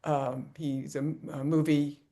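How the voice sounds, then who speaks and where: Halting speaker in a casual film chat. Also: mid-range voice, level tone, warm tone. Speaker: film club member. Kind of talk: casual group discussion, informal film recommendation.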